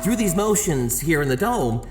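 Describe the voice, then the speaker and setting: A kindly old astronomer in an audio drama, explaining with measured care and small stammers. His voice echoes around a big space, with music underneath. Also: a little high, big pitch swings. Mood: grave, measured. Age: older.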